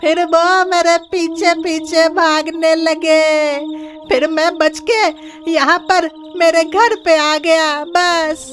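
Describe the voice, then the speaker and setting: A woman's voice: extremely high pitch, little pitch movement, slow pace, full character voice. A woman voicing a naive, boastful young village man in a cartoon horror story, with earnest delivery. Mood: shaken, explaining. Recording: loud music behind the voice. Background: loud music.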